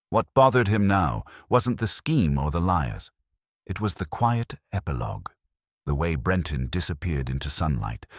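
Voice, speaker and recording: Very deep-voiced man narrating detective fiction. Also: no room sound, clean studio sound. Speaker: audiobook narrator. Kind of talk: scripted first-person detective story narration.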